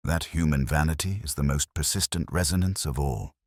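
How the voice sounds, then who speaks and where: A man voicing a calm, philosophical gentleman detective in an audiobook, speaking deliberately and with quiet reason. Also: very deep voice, no room sound, studio quality. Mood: reflective. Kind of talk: audiobook character dialogue.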